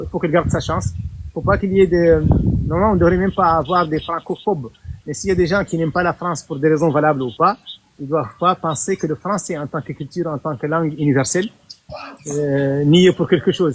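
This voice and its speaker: A man who leads a party from Mauritania, giving thoughtful answers in an interview and often restarting his phrases. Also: a little high. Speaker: political party leader, interview guest.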